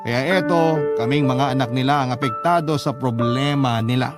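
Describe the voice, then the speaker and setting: A man's voice: medium pitch, moderate pace, natural voice. A man playing a troubled child of a poor family, narrating a radio drama in a confiding, sentimental way. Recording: prominent piano music behind narration. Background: piano music.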